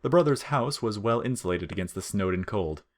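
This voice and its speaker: Low-voiced man narrating comic fiction. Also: animated, fairly quick.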